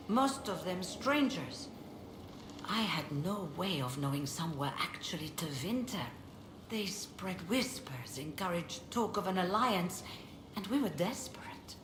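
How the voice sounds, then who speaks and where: A woman voicing a weary, dignified mage leader in a video game, speaking in a measured, formal, reflective way. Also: on the low side, expressive. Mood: grave, measured. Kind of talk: video game dialogue acting. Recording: roomy echo, clearly noisy game capture.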